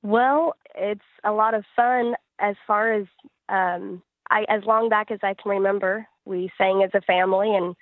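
A woman's voice: mid-range voice, steady tone, moderate pace, no echo, phone-quality sound. A woman who sings and writes gospel music, answering interview questions in a conversational way. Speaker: interview guest, gospel singer-songwriter.

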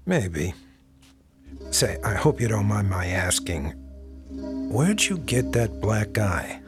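An actor playing a middle-aged police detective in a radio drama, folksy and probing with casual insinuation. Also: male voice, lowish voice, sing-song, slow pace, over music.

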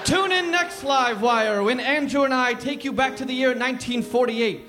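A man narrates a mock-serious history with pompous, deadpan gravity. His voice sits very high for a man. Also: normal speed, a little hiss or hum. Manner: pompous, deadpan, scolding interruptions. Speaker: storyteller.

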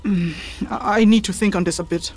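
A woman's voice: medium pitch, steady tone, a little fast, darkish tone, breathy edge, close to an everyday voice. A woman playing a thoughtful, reserved investigator in a fantasy audio drama, polite and tentative in her questions. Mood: pensive.

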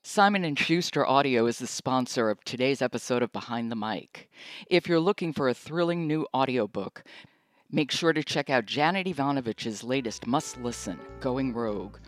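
A woman's voice: a bass voice, lively intonation, normal speed, soft background music. A woman hosting a book podcast in a casual, wry, chatty conversational way. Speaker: podcast host. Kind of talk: conversational book talk and interview.

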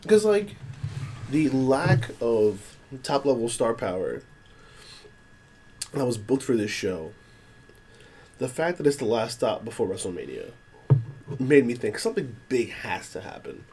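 A man co-hosting a casual podcast while under the weather, his voice a little hoarse, talking in an animated, opinionated way. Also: mid-range voice, a little slow, pauses often. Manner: animated, opinionated wrestling talk. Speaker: podcast co-host.

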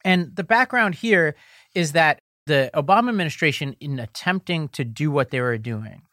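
A man's voice: a little high, expressive, moderate pace, bright, forceful tone, no echo, studio quality. A man co-hosting a political podcast, explaining court decisions step by step and reading ads in a casual tone. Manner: explanatory, walks through legal details step by step. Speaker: podcast co-host.

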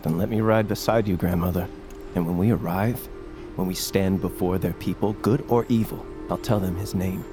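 Man as a devoted young warrior, deep voice, solemn, formal, fantasy drama, music underneath. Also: fairly even intonation, normal speed, lightly acted. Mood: earnest resolve. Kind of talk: fantasy audio drama acting.

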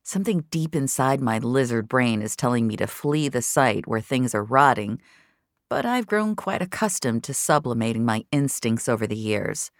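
A woman's voice: very deep voice, expressive, moderate pace, no echo, studio quality. A woman narrating scripted fiction in the first person, in a confessional, intimate storytelling manner. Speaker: narrator of an audio fiction series.

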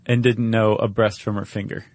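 A man's voice: lowish voice, little pitch movement, normal speed, darkish tone. A man co-hosting a podcast, chatting casually and retelling stories with wry, jokey asides. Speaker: podcast co-host. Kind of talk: casual two-person chat, retelling stories.

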